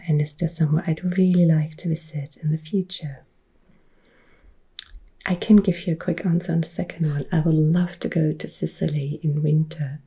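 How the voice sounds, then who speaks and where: A woman in her thirties from Upper Austria with an Austrian German accent, softly whispering and quietly answering viewer questions as an ASMR host. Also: sits low, gravelly, breathy edge. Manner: soft whispering, mixed with quiet speech. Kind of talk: ASMR Q&A chat. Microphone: close-up sensitive ASMR mic.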